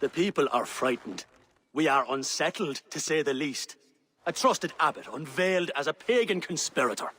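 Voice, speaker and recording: Stern, formal royal counsellor. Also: male voice, a little high, animated, a bit bright, a little hiss or hum. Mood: grave. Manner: formal, accusatory, measured. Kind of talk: dramatic video game acting.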